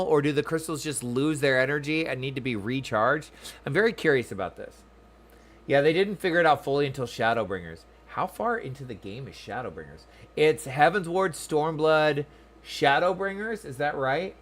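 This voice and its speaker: A man's voice: a little high, fairly bright tone. A man streaming a game, giving casual commentary and musing aloud informally as he chats with viewers.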